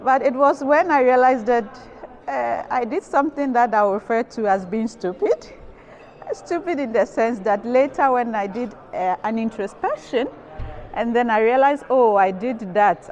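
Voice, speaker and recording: Measured Ghanaian-accented woman. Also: on the high side, darkish tone. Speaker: nursing and public health professional. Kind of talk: reflective interview answers.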